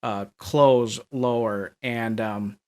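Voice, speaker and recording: A man's voice: medium pitch, steady tone, very slow, a little mellow, clean tone. A middle-aged man from Minnesota with a Minnesotan accent, talking through price charts with lots of fillers and restarts. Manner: rambling, many fillers and restarts. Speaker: market analyst. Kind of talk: chart analysis commentary.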